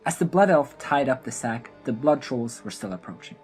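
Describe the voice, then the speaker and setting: A woman's voice: rumbling low, expressive, moderate pace, darkish tone, soft background music. A woman narrating a scripted fantasy audio drama as its storyteller.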